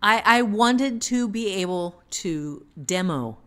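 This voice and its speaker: A woman giving unscripted teaching talk on a podcast, thinking aloud in a halting manner. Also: mid-range voice, lively intonation. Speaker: writing teacher, author.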